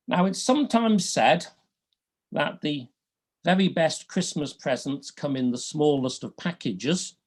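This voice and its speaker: A man with a Liverpool accent giving an academic law lecture, with dry humour and a measured, deliberate delivery. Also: fairly high pitch, lively intonation, a little mellow. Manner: dry humour, deliberate, rises and falls a lot. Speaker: law professor.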